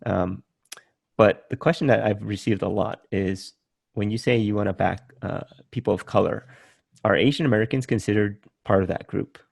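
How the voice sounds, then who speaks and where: Interview host, male, forties, from Vietnam. Low, gravelly, a bit husky, dry close sound, relaxed and musing. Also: normal speed, dry recording. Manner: relaxed, thinking aloud with many "um" and "right?". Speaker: podcast host. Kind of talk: casual interview chat.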